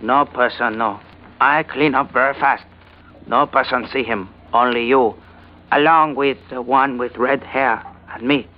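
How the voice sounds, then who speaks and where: An actor playing a guarded Chinese shopkeeper with a put-on Chinese accent, speaking terse, halting pidgin in a 1940s radio drama. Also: male voice, mid-range voice, fairly even intonation, close to an everyday voice. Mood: quietly confiding. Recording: muffled vintage radio recording.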